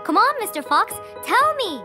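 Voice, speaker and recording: A small, sweet animal from a children's cartoon. The voice is extremely high and lively in pitch. It is polite and effusive, over clear background music. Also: female voice, moderate pace. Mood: eager. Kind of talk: children's animated story dialogue.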